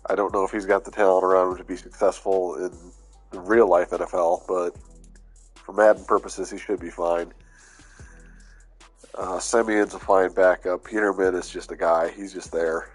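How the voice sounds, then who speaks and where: A man with a fairly low voice and a stuffy, congested sound, talking through a sports video game at an easy, unhurried pace. He thinks aloud with plenty of "uh"s, casual and a little worn down from being under the weather. Faint music sits underneath his voice. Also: level tone, breathy edge, rough recording. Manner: laid-back, thinking aloud with fillers. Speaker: gaming YouTuber. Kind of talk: casual gameplay commentary.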